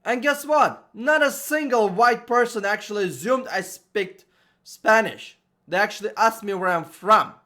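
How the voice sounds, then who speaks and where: High-voiced man. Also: unhurried, bright, forceful tone. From Uzbekistan. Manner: emphatic, ranting, checks in with "okay?". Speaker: vlogger. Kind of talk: opinion monologue to camera. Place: room at home with an air conditioner running.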